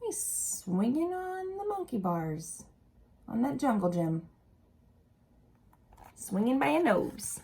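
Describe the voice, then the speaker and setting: A woman reading a picture book aloud expressively to young children, pausing to ask them simple questions. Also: a little high, very animated, vocal fry, darkish tone, slight background noise.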